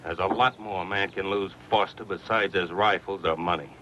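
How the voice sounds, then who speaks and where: A firm, sharp-voiced frontier rifleman. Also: low voice, fairly even intonation, moderate pace. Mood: threatening, firm. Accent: American. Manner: terse, folksy frontier speech. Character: firm, wary American frontier rifleman leading settlers. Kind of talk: western radio drama acting. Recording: muffled lo-fi radio recording, background hum.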